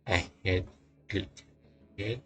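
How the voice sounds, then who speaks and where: A male streamer reading a visual novel aloud live, haltingly, with stumbles. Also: on the low side, a bit bright. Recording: game music behind the voice.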